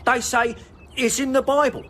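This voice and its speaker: An actor playing a lovelorn, stubborn shopkeeper in a radio comedy, pleading with absurd, pedantic earnestness. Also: male voice, extremely high pitch, level tone, normal speed, a bit bright, slight background noise. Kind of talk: British radio comedy acting.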